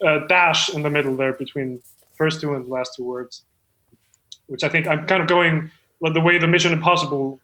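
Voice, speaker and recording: A man's voice: on the high side, bright, forceful tone, roomy sound, low-quality recording. A man playing an earnest, self-important amateur screenwriter, hesitant and rambling, in improvised comedy chat. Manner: hesitant, rambling, full of pauses.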